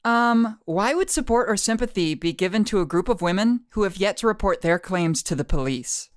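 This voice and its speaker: A woman's voice: medium pitch, expressive, moderate pace, no echo, studio quality, close to an everyday voice. A woman voicing a smug, vain, self-satisfied online commenter in a dramatic reading of posts. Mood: skeptical.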